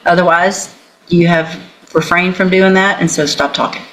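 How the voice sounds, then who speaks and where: A woman's voice: lowish voice, steady tone, moderate pace, fairly bright tone, poor audio. A woman judge addressing a courtroom in a blunt, commanding manner. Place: indoor courtroom.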